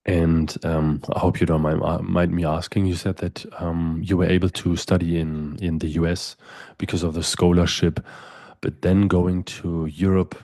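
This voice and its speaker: A man's voice: low-pitched, level tone, moderate pace, warm tone, dry recording, clean studio sound. A middle-aged man hosting a podcast, asking long, winding interview questions with frequent restarts. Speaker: podcast host.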